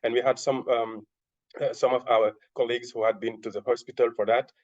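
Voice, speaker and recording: A man from Senegal speaking English with a French-speaking accent, his native tongue being French. He presents haltingly, with frequent fillers and restarts, his voice sitting at an ordinary middle pitch. The video call audio sounds dull and lo-fi. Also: little pitch movement, darkish tone. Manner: halting, many fillers and self-corrections. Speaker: webinar panelist. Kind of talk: hesitant presentation.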